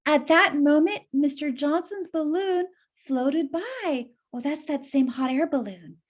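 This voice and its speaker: A woman's voice: high voice, a little slow. A woman reading a children's story aloud and explaining it simply, as if to young listeners.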